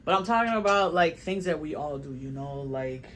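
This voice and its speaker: A man's voice: fairly high pitch, animated, normal speed, fairly bright tone, a little hiss or hum. A young man, a rapper from South Florida talking casually and at length in his recording studio, rambling and repeating himself. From Miami, South Florida. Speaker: rapper and vlogger. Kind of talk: casual studio vlog talk.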